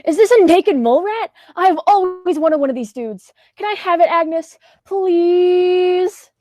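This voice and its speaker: A teenage girl in a school radio play acting a slangy, flippant, excitable kid. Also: very high voice, unhurried, lo-fi sound. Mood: excited, pleading. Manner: flippant, slangy, theatrical gasps. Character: cheeky, slangy, excitable Gen Z kid adventurer.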